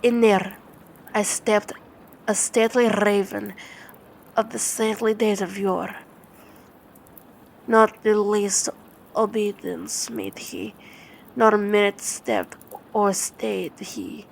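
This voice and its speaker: A woman reading dramatic poetry as a sleep-aid ASMR narration, in a measured, theatrical way with halting stumbles and repeated words. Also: mid-range voice, animated, vocal fry, a little hiss or hum.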